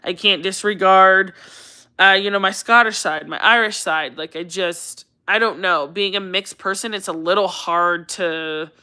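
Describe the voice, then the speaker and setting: Bright, clear-voiced American woman chatting casually. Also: mid-range voice, measured pace. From Colorado, United States. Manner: rambling, chatty, repeats phrases for emphasis. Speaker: livestream host. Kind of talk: casual livestream chat, answering viewer comments.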